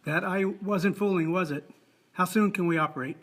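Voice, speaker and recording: A man's voice: high voice, normal speed, a bit bright. A man playing a folksy, trusting old judge in an amateur scripted film. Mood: genial.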